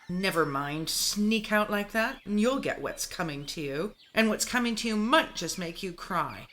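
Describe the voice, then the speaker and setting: An actress playing a stern, suspicious middle-aged mother in a radio play, sharp-tongued and commanding. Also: mid-range voice, slight background noise. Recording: music under the voice. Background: music soundtrack.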